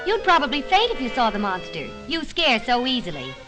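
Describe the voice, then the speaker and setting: A woman's voice: a little high, huge pitch swings, a little fast, music in the background. A woman with an American accent playing a brash, snappy young newspaper reporter in a 1940s cartoon, on a vintage soundtrack. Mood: breezy. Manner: snappy, sassy.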